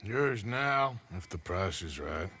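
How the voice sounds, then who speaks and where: An actor playing a gruff, sardonic, menacing American outlaw gunslinger in a video game, with a dry sarcastic manner. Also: male voice, low voice, expressive, takes it slowly, bright, forceful tone, a little hiss or hum, full character voice. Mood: cool, menacing. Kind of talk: video game cutscene acting.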